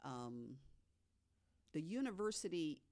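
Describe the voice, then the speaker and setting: Low-voiced woman chatting casually. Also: very animated, takes it slowly, a little mellow. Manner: chatty, laughs easily. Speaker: podcast guest panelist telling ghost stories. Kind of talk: casual storytelling chat.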